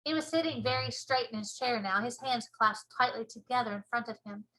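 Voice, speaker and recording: A woman teacher reading a children's storybook aloud with expressive narration. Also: fairly high pitch, brisk, rough recording.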